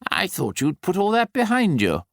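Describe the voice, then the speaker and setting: A man's voice: high voice, huge pitch swings, normal speed, vocal fry, dry recording, studio quality, pitched above the performer's own voice, full character voice. A man voicing an older upper-class Englishman in an audiobook, clipped, condescending and socially pushy. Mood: brisk, needling. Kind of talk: audiobook character dialogue.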